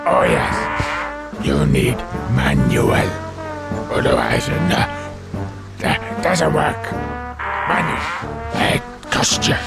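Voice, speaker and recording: An actor playing a shady, drunken scarecrow in a cartoon video game, gravelly and halting, mumbling in broken phrases. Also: male voice, on the high side, huge pitch swings, loud music behind the voice, heavily acted. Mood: rambling, sly. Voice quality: gravelly, croaky.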